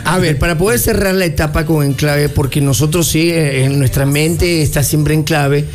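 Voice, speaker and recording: A man hosting a radio interview, upbeat and lively in his delivery. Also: fairly high pitch, moderate pace. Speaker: radio host.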